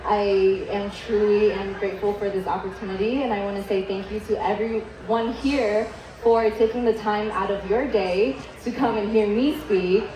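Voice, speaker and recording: A woman's voice: medium pitch, fairly even intonation, moderate pace, a crowd in the background. A young woman from Vietnam, whose first language is Vietnamese, giving a keynote speech and telling her story in a candid, casual way with many "likes" and "ums". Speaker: keynote speaker. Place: event hall. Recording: echoey event hall.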